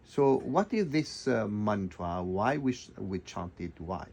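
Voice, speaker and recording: A man hosting an informal radio interview, speaking haltingly and rephrasing as he goes. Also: on the low side, expressive, creaky, a little mellow, slightly rough. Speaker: radio host. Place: radio studio.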